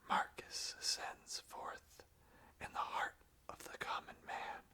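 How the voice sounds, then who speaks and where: An ASMR host of unclear sex whispers close and soft, with no real voice behind the words. The delivery is slow and deliberate, very breathy, with a crisp, bright edge to the hiss.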